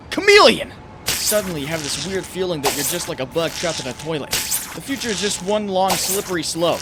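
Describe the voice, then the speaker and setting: A man acting as a young superhero with a fairly high, widely swinging voice, brooding and mocking himself in anguish. His comic dub monologue breaks into frantic urgency. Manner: brooding second-person monologue, bursts of urgency. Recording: roomy reverberant voice, faint noise.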